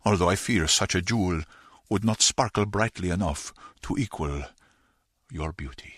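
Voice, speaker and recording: A man's voice: sits low, moderate pace, fairly bright tone, husky, no echo, studio quality. An actor playing a lustful male henchman with a cheeky, theatrical romantic streak. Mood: tender, poetic. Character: lustful henchman turned flowery would-be romantic.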